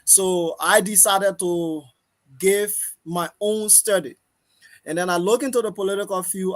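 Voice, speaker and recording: A high-voiced man with a Liberian accent speaks as a guest on a talk show. He introduces himself in deliberate phrases, leaning on repetition for emphasis. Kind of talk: interview answers, self-introduction.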